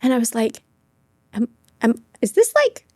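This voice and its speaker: A woman's voice: on the high side, huge pitch swings, unhurried, darkish tone, dry recording, studio quality. A woman in her forties, a mother co-hosting a podcast in casual chat, telling earnest personal stories and sharing what she has read. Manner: earnest storyteller, shares personal anecdotes and research. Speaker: podcast co-host.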